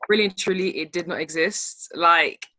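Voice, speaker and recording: Bright-voiced young London woman. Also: lowish voice, normal speed, dry recording, low-quality recording. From born in Spain, raised in London. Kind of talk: casual group discussion. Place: video call.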